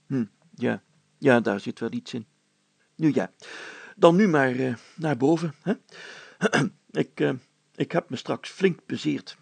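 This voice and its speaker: A fussy older male mayor from a children's storybook, acted out by a male narrator. His voice is very gravelly and husky, raised above an everyday register. He is flustered and self-pitying, hesitating and clearing his throat as he bumbles along. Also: mid-range voice, expressive, slow, pauses often, no room sound, voice pushed up, full character voice. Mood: reluctant, grumbling.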